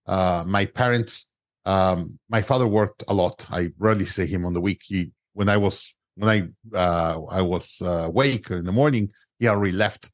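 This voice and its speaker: Mexican-accented man, low dry voice. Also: normal speed, no echo. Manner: non-native grammar, frequent restarts and "uh" fillers. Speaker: guest entrepreneur. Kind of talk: conversational interview storytelling.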